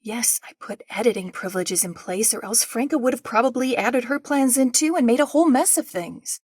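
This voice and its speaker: A meticulous, businesslike young game operative. Her voice sits a little high with a husky grain, and her delivery is precise. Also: brisk, a little mellow, dry recording, studio quality. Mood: exasperated. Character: diligent, orderly young woman, a meticulous planner. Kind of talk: video game character voice lines.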